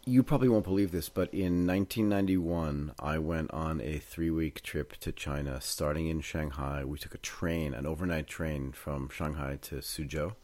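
A man's voice: extremely low pitch, moderate pace, few pauses, darkish tone, no room sound. A middle-aged man hosting a conversational podcast interview. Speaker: podcast host.

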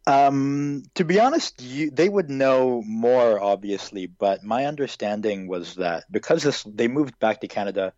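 A man's voice: mid-range voice, unhurried, few pauses, darkish tone, no room sound, lo-fi sound. A man in his twenties who grew up in Hong Kong, answering interview questions in a casual, hesitant way with many fillers. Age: 20s.

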